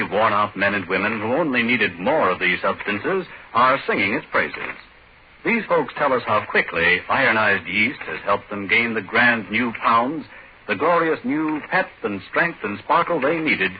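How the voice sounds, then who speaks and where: A man's voice: lowish voice, very animated, moderate pace. A man working as a radio announcer, reading a scripted advertisement in 1940s style. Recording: muffled 1940s radio, faint hiss.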